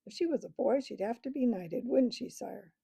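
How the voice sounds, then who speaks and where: A woman voicing a blustering schoolboy from a storybook, flustered and full of old-fashioned slang. The voice is very dark and mellow, with a gravelly edge. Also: a little high, expressive, normal speed, no room sound, pitched above the performer's own voice, exaggerated voice.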